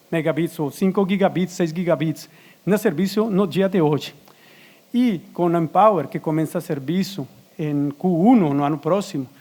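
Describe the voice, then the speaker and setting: A man giving a conference presentation in a language that is not his own, talking haltingly with frequent restarts and fillers. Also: on the high side, a little mellow. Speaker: conference speaker. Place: conference stage.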